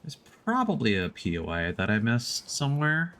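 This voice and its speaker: A man's voice: mid-range voice, huge pitch swings, moderate pace, a bit bright. A man giving casual live game commentary, thinking aloud and explaining things as he plays. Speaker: streamer, gamer.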